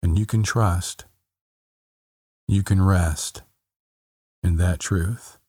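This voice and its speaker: Casting: man with a very low voice, unhurried, gentle meditation guide, dry close sound. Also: breathy edge, no room sound. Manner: slow, soft, calm, repeats phrases with long pauses.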